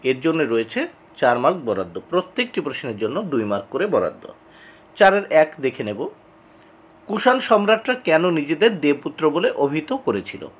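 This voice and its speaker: A man giving an instructional exam lesson, reading out questions and answers deliberately, repeating key points and addressing students directly. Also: fairly high pitch, very animated, slightly rough. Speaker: exam tutor.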